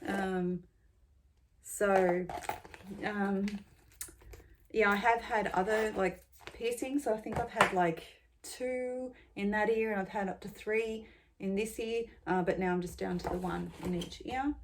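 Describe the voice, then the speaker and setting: An Australian woman in her forties answering tag questions in a casual, rambling way, with many ums and pauses. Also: mid-range voice, steady tone, a little hiss or hum. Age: 40s. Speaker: beauty vlogger.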